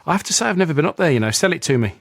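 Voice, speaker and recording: A man's voice: on the high side, expressive, rapid-fire, gravelly, no echo, studio quality. A man hosting an interview on the radio, chatty and asking questions with plenty of tag phrases. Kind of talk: interview host.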